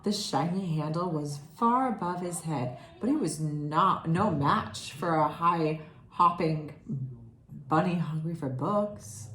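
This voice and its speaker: A woman reading a children's picture book aloud expressively, pausing to comment and put questions to her listeners. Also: low-pitched, fairly bright tone. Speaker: read-aloud storyteller. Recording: roomy echo, faint background noise.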